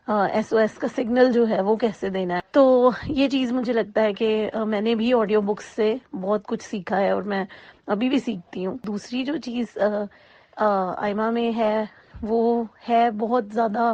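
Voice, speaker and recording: A woman's voice: medium pitch, darkish tone, no echo. A woman explaining her answer in an interview, recorded on dull lo-fi audio. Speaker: audio drama voice actor being interviewed.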